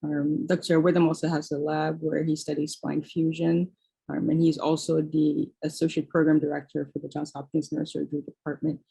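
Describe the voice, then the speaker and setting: A woman with a husky, gravelly voice introduces a guest on a video-call webinar. Her tone is mellow and her pitch stays flat. She fills her phrases with "um" and "uh". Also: brisk, low-quality recording. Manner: hesitant, with frequent fillers. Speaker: moderator introducing the speaker.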